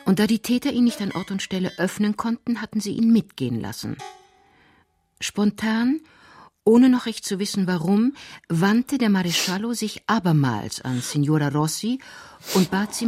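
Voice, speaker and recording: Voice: mid-range voice, animated, moderate pace, a little mellow. Radio crime drama narrator over music.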